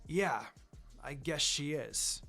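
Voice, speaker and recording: A man's voice: fairly high pitch, slow, gravelly, sharp tone, soft background music, voice pushed down, full character voice. A man voicing a hot-headed, worried teenage ninja boy in a story reading, with informal, earnest delivery. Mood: troubled, hesitant.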